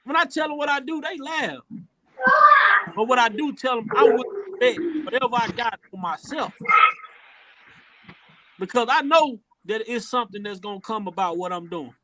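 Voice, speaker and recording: A man with a strikingly high voice hosts a casual opinion show. His tone is bright and forceful, and he talks earnestly, circling back on his words as he builds each point. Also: moderate pace, long pauses, poor audio. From rural Louisiana, USA. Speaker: podcast host.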